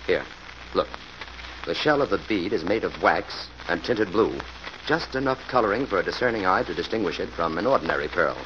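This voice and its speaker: An actor playing an assured, genial detective hero in a 1940s radio drama, explaining things in a measured way. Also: male voice, deep voice, animated. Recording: muffled, noisy old radio recording.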